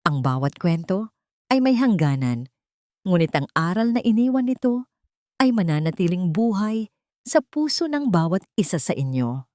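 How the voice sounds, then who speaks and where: A woman narrating a dramatic story in an earnest, sentimental, measured way. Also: lowish voice, big pitch swings, darkish tone, dry recording, clean studio sound.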